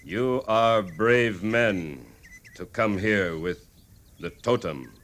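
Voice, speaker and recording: An actor playing a stern, proud war chief in a 1950s radio Western, with solemn, terse and halting phrasing on a vintage recording. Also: male voice, on the low side, a bit bright. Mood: grave, resigned.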